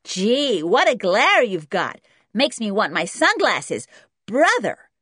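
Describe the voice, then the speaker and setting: A woman's voice: mid-range voice, wildly swooping pitch, takes it slowly, a bit bright, husky, dry recording, studio quality, pitched above the performer's own voice, full character voice. A woman voicing a brash, demanding eleven-year-old American boy in a storybook, with a whiny manner. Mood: insolent.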